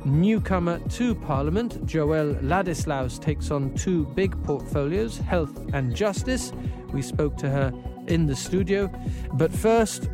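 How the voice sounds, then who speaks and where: A man hosting a news podcast, presenting stories and talking with a colleague. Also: fairly high pitch. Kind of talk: scripted news introduction and studio conversation.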